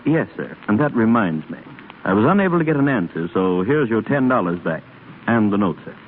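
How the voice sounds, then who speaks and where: An actor playing a formal, deferential household servant in a 1930s radio drama. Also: male voice, on the low side, big pitch swings, a little fast. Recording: narrow-band, faintly noisy 1930s radio broadcast.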